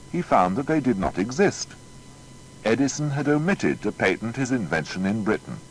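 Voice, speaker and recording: Deep-voiced male documentary narrator. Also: slight background noise, low-quality recording. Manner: measured, storytelling, formal.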